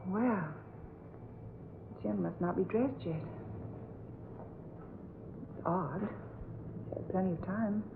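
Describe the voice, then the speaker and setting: An actress playing a distraught, headstrong young niece with earnest, dramatic delivery in an old radio melodrama. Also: female voice, medium pitch, slow pace, gravelly, pauses often. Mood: puzzled. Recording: muffled old radio recording, faint hiss.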